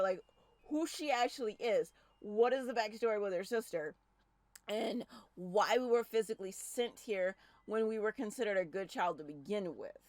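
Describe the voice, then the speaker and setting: A woman's voice: mid-range voice, dry recording. A woman streamer giving casual, chatty commentary while playing a visual novel.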